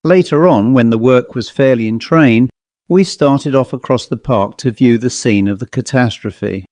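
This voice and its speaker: A man narrating a Victorian ghost story as a scripted audiobook, in a measured, dramatic storytelling manner. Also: medium pitch, a little mellow, no echo.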